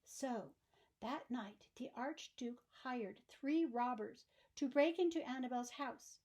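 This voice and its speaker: A woman reading a children's storybook aloud in expressive narration, with deliberate, emphatic phrasing for young listeners. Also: sits high.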